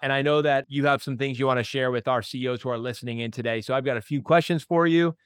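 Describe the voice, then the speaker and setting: A man's voice: mid-range voice, steady tone, quick pace, clear, resonant tone, no echo. Upbeat male podcast host, dry studio. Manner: enthusiastic, conversational with many fillers. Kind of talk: interview podcast.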